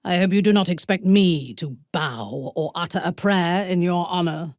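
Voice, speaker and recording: An actress voicing a ruthless, haughty female warrior commander in a video game, with a husky, breathy voice and an imperious, menacing delivery. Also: fairly low pitch, normal speed, a little mellow, dry recording, studio quality, natural voice. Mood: imperious, scornful. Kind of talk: dramatic video game voice acting.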